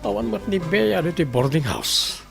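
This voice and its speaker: A man's voice: high-pitched, expressive, normal speed, vocal fry, soft background music, exaggerated voice. A man playing a troubled, ailing man who is short of money in a radio drama. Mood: worried searching.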